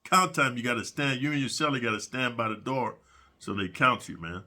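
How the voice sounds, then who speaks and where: A man's voice: mid-range voice, fairly quick, pushed and bright. A middle-aged man telling prison stories in a rambling, casual monologue, sniffling from allergies and dropping "man" and "dude" all the time. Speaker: prison storyteller.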